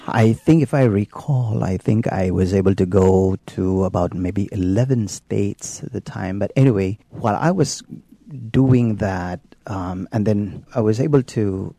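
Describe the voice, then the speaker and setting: Gravelly, low Filipino-accented priest. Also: male voice, dark tone, no echo. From Philippines. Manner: unhurried, halting, many ums and restarts. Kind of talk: telling his life story in an interview.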